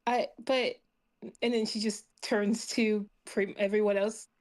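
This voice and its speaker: A woman's voice: medium pitch, little pitch movement, a little slow, darkish tone. A woman chatting casually as a player in a tabletop game. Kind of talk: casual chat.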